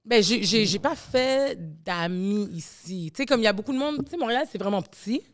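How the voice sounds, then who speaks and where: A young woman in a relaxed podcast conversation. She was born in Canada and grew up in Miami. She talks easily at an everyday middle pitch and drops English phrases into her French. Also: brisk. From Canada, raised in Miami. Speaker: podcast guest. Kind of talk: casual podcast chat.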